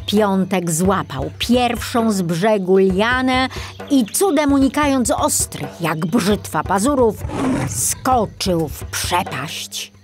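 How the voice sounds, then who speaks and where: A woman reading a children's audiobook as scripted narration. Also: lowish voice.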